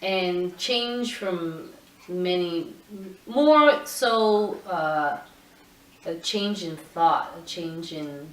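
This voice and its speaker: Slow, low-voiced woman, halting and thoughtful. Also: animated. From Puerto Rico. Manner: thoughtful, halting, many restarts. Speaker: photographer. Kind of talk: interview answers. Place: indoor room with her camera collection. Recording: roomy sound, mic at a distance.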